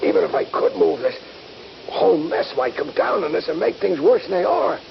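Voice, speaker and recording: A dull, faintly noisy old radio recording features an injured soldier determined to get free. His voice is thick with gravelly creak and moves through very lively pitch swings, in classic radio drama style. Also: normal speed, exaggerated voice. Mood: weary, uneasy. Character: injured soldier buddy, trapped but determined.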